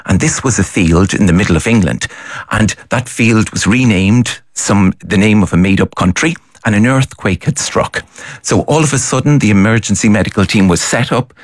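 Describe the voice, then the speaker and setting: Male doctor, very low and husky, measured explainer, dry studio sound. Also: no room sound. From Ireland. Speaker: doctor, guest. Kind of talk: explanatory interview answers. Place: radio studio.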